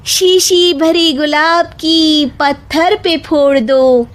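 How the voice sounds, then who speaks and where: A woman's voice: high-pitched, very slow, voice pushed up. A woman voicing a spirited, cheeky young housewife in a comic story, with an emphatic delivery. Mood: cheeky, triumphant. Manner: emphatic, recites mocking rhymes.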